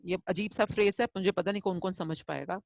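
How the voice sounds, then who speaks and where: A middle-aged woman leads a live online lesson, answering a student's question with homely examples and quick acted-out quotes. She moves easily between Hindi and English at a middle pitch. Her voice is dry and close to the mic. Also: fairly even intonation, vocal fry, a little mellow, dry recording. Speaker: gratitude course teacher.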